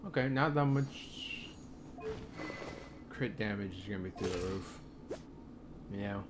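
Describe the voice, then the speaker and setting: A man's voice: lowish voice, slow, background noise. A man giving casual, offhand commentary on a video game stream, half talking to himself. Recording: loud game sound and ambience behind. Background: video game sound effects.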